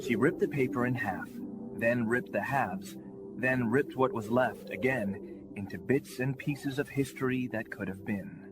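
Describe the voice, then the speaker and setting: A mid-pitched male narrator reads a dramatic passage of fiction for a promo. Music plays clearly behind his voice. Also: unhurried, low-quality recording. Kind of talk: dramatic audiobook excerpt reading in a promo.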